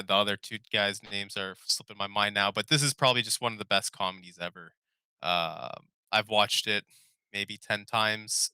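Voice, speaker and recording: A man's voice: medium pitch, bright, forceful tone, clear, resonant tone, dry recording. A young man co-hosting a casual podcast chat, talking loosely and informally and trailing off as he searches for words. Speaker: podcast co-host. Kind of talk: casual chat about favorite movies.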